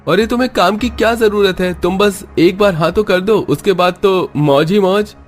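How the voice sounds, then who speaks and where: A man's voice: sits high, normal speed, soft background music, close to an everyday voice. An actor playing a melodramatic village man in a cartoon drama. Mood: leering. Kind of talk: Hindi cartoon dubbing.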